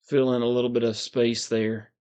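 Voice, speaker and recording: A man with a calm, soothing voice, hosting a casual livestream, reading viewer comments in a relaxed, meandering way. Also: medium pitch, moderate pace, clean tone. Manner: relaxed, meandering, frequent fillers and soft chuckles. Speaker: livestream host.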